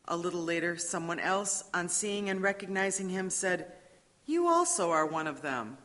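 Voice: mid-range voice, animated, normal speed, pushed and bright. Scripture narrator in a reverberant hall. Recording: echoey church hall, long reverb.

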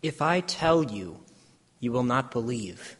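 A man's voice: mid-range voice, lively intonation, slow, fairly bright tone, slightly rough, full character voice. A man playing a solemn, grave Jesus in a dramatic reading of scripture. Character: solemn Jesus, grave teacher facing arrest. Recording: echoey church hall, long reverb.

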